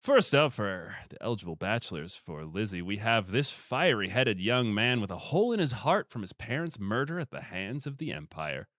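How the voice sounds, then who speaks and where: Male podcast host, booming and playful; animated mock-announcer reads, close dry sound. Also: moderate pace, few pauses, dry recording. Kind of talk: casual chat and comic introductions.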